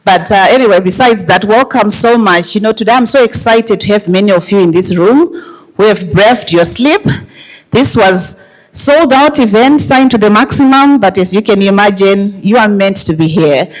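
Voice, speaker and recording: A woman with a Zimbabwean accent delivers opening remarks at an event. Her voice sits at a middle pitch, and she speaks informally and personally, addressing the room directly. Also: normal speed, low-quality recording. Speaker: organization CEO giving opening remarks. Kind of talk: informal opening speech to a live audience.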